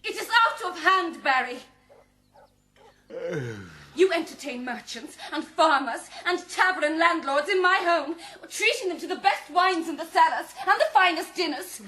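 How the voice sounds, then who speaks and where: A woman's voice: very high voice, a little slow, pushed and bright, exaggerated voice. An actress playing a proud, distressed aristocratic lady with formal, impassioned speech in a period radio drama. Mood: indignant scolding.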